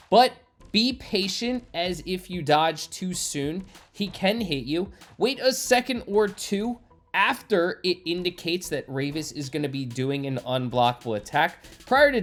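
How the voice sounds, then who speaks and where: A man giving scripted video game walkthrough commentary as a YouTuber, phrased as step-by-step instruction. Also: sits high. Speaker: gaming YouTuber. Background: game music.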